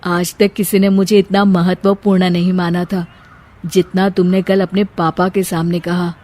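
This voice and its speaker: A woman's voice: on the low side, flat delivery, moderate pace, dark tone, lightly acted. Meek, earnest young husband acted by a woman. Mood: grateful, earnest. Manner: humble, self-deprecating.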